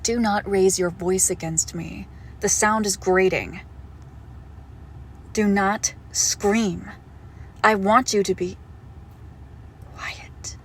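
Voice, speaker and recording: A woman playing a possessive monstrous girlfriend in an intimate horror roleplay, condescending and darkly affectionate, with deliberate, drawn-out phrasing. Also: medium pitch, fairly even intonation, a little hiss or hum. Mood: stern, irritated. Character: possessive monstrous girlfriend with shadow powers, sweetly menacing. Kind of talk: intimate horror roleplay audio, slow and hushed.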